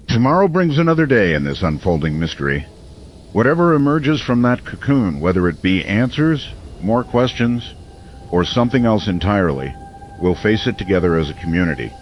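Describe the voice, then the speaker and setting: A man playing a brooding, earnest small-town radio host in an audio drama, delivering a measured, portentous monologue. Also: fairly low pitch, lo-fi sound. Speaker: late-night community radio host.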